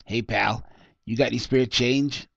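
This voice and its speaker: A man voicing a scruffy, jumpy homeless man with excitable street slang in a story reading. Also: medium pitch, a little slow, creaky, no room sound. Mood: wheedling. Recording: dull lo-fi close recording.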